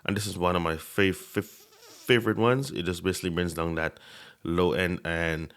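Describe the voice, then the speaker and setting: A man's voice: low voice, steady tone, normal speed, a bit bright, smooth. A man giving a casual tech review at his desk, talking into a desk microphone, often stuttering and repeating words. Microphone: desk microphone under review.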